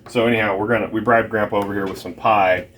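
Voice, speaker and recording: Low-voiced man hosting a family vlog. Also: fairly even intonation, fast talker, fairly bright tone, a little hiss or hum. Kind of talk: casual chat and personal storytelling.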